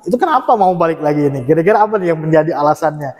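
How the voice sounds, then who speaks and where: A man's voice: on the high side, lively intonation, rapid-fire, a little mellow, long reverb tail. A man around forty hosting an interview, asking questions and often repeating his guest's words back. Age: about 40. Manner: frequent short affirmations, repeats the guest's words. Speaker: interviewer host.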